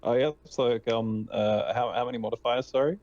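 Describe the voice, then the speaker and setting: A man's voice: medium pitch, fairly even intonation, fairly quick, a little mellow, no room sound. A man playing a tabletop game, chatting casually with somewhat hesitant, apologetic phrasing. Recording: dull, muffled lo-fi mic.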